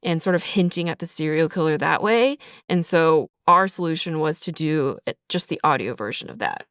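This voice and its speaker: Clear, low-voiced woman in casual interview. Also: animated, normal speed, a bit bright, dry recording, studio quality. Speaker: audio drama writer and creator, interview guest. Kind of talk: casual explanatory interview talk.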